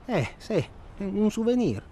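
A self-absorbed, articulate traveller in a radio drama, his voice slightly high. He talks bookishly and insistently, as if he must confide everything. Noticeable outdoor ambience sits behind him. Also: sing-song, slow, dark tone, full character voice. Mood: hushed, reflective. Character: well-spoken, self-absorbed male hunting traveller, obsessively confiding. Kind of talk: naturalistic radio drama dialogue. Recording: clear ambient noise behind.

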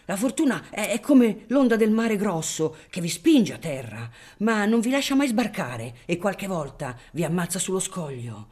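A man's voice: sits high, sing-song, moderate pace, hardly any pauses, darkish tone, breathy edge, voice pushed up, full character voice. A reader voicing an anxious, agitated poor father who gambles on the lottery. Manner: agitated, raised voice.

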